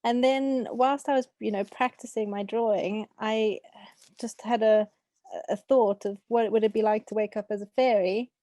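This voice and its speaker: A woman's voice: a little high, steady tone, normal speed, mellow, no room sound. A young adult woman with a South African accent, talking casually as an author being interviewed.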